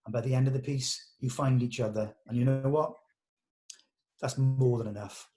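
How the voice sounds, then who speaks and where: A man's voice: mid-range voice, fairly even intonation, moderate pace, dark tone. A British man speaking informally and earnestly, like a director encouraging actors at a table read, with blunt colloquial turns. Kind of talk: informal character briefing to actors.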